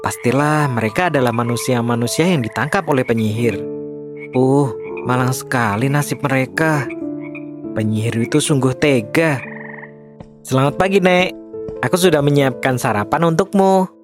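A man's voice: medium pitch, moderate pace, long pauses, music in the background, natural voice. Man playing an earnest, clever young storyteller. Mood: pitying, then polite, reassuring. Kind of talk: children's storybook audio drama.

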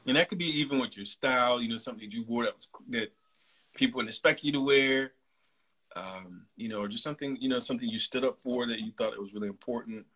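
A man in his forties hosts a relaxed conversation and talks at an easy, rambling pace full of fillers. His voice is bright and forward, sitting at a middle pitch. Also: fast talker, low-quality recording. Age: 40s. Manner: relaxed and chatty, many fillers. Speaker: host. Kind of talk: casual interview chat.

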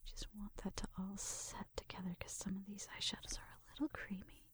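Breathy, whispery flirty roommate played by a woman. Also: mid-range voice, monotone, a little fast. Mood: gentle, focused. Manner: soft whispering mixed with murmured talk, shy and teasing. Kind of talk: ASMR roleplay, intimate one-sided conversation.

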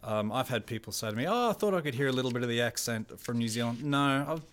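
A man's voice: mid-range voice, lively intonation, fast talker, fairly bright tone, slight background noise. A middle-aged man with an Australian accent, a theater technical director chatting casually as a radio guest, laughing often and restarting his phrases.

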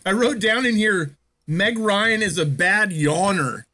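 High, lively, bright-voiced man. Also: measured pace. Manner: animated, jokey, acts out scenes in mock voices. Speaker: podcast co-host reviewing a film. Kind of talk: casual chat.

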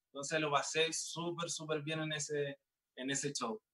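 A man's voice: fairly high pitch, level tone, measured pace, bright, forceful tone. A young man, a singer chatting in an interview, upbeat and full of fillers and restarts. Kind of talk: casual interview chat.

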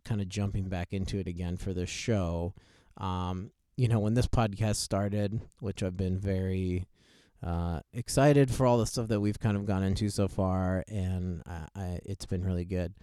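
A man's voice: deep voice, fairly even intonation, moderate pace, hardly any pauses, dark tone, clean tone, no room sound, clean studio sound. A man co-hosting a casual podcast, rambling with many fillers and restarts. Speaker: podcast co-host.

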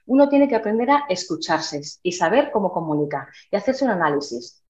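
A woman's voice: on the low side, very animated, fairly quick, a little mellow. A woman from Huelva, giving emphatic, conversational advice as an interview guest who trains voices. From Huelva, Andalusia, Spain. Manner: emphatic, didactic, checks in with listeners. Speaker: guest, voice trainer and speech expert. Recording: dull, roomy, echoey sound.

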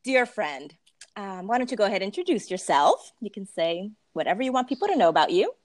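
A woman's voice: mid-range voice, big pitch swings, fast talker. A woman hosting a podcast, chatting casually and bubbly over a remote call, with lots of laughter and fillers. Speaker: podcast host. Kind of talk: casual conversational chat. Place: staying in Puerto Rico, remote call.

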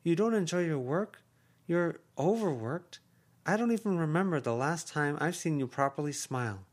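A man's voice: a little high, expressive, normal speed, pitched above the performer's own voice. A man voicing a cheerful, effusive aunt who talks in an emphatic way. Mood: earnest. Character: cheerful gushing adult aunt, woman.

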